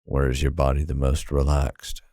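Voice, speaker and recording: A man narrating a guided sleep hypnosis in a calm, soothing, unhurried manner with long pauses. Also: extremely low pitch, no echo, clean studio sound.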